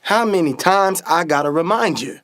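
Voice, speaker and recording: An actor playing a bold, protective young stunt pilot and older brother in a radio play, speaking in a folksy Southern-style vernacular. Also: male voice, high voice, expressive, normal speed, fairly bright tone, no echo, clean studio sound. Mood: exasperated.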